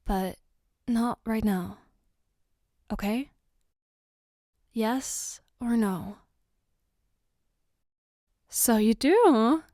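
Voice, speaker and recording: A woman playing a caring, flustered girlfriend in an ASMR roleplay, speaking gently and haltingly to comfort her partner. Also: fairly high pitch, no echo, clean studio sound. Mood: soft, coaxing, then warm, reassuring. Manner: hesitant, gentle, reassuring, halting. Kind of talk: ASMR roleplay, spoken to the listener.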